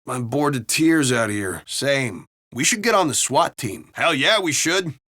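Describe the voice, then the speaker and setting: A man's voice: mid-range voice, expressive, measured pace, bright, forceful tone. A man playing a jaded, grumbling, profane city beat cop in a video game. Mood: sardonic. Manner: profane, dry, conversational grumbling. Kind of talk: video game character barks and banter.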